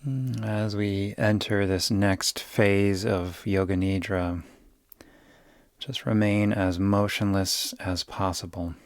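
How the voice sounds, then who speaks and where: A man leading a guided yoga nidra meditation, giving gentle, soothing instructions in an unhurried way. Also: on the low side, level tone, smooth, no room sound, clean studio sound.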